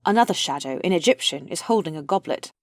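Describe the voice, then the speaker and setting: A woman's voice: low voice, animated, fast, no echo, studio quality. A woman reading the stage directions of a play as scripted narration.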